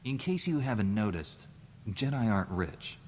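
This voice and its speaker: A measured, morally earnest Jedi knight who corrects his companion calmly. His voice is very deep. A long reverb and faint hiss surround it, as in game dialogue. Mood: stern. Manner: calm, reproving, measured. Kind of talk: video game character dialogue.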